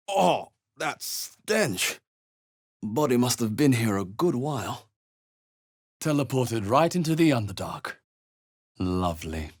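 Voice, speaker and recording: A male video game adventurer with a fairly low, husky voice. He is observant and wry, musing in a measured, understated way, yet his pitch moves in lively turns. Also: exaggerated voice. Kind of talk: video game voice acting, short reactive lines.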